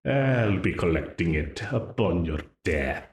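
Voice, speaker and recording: A man's voice: deep voice, lively intonation, reverberant, heavily acted. A man voicing a smug, dominant demon who taunts and laughs as he binds a ruler to a contract. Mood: menacing, mocking. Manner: slow, taunting, with frequent laughs. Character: dominant scheming male demon, smug and menacing. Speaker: voice actor in an audio roleplay. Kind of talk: audio roleplay acting.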